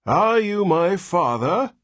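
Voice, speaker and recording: A man voicing a querulous old man in a storybook reading, with a cracked, ancient, whining voice that turns shrill in protest. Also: big pitch swings, takes it slowly, a bit bright, no room sound, heavily acted. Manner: complaining, shrill when protesting.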